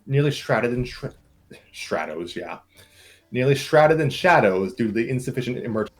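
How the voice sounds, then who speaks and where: A man's voice: medium pitch, expressive, normal speed, soft background music. A man narrating a comic story aloud in an informal group setting, with casual asides.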